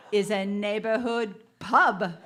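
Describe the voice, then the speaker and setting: A woman's voice: mid-range voice, fairly even intonation, very slow. A woman playing a deferential, chatty London housekeeper in a radio drama, polite and gossipy. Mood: helpful, chatty.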